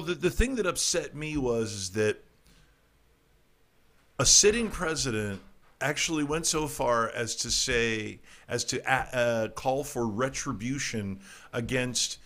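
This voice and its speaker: A man's voice: medium pitch, a bit bright. A middle-aged man hosting a casual talk show, ranting irreverently about the news with frequent stumbles and restarts. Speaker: podcast host. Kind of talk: casual political comedy talk.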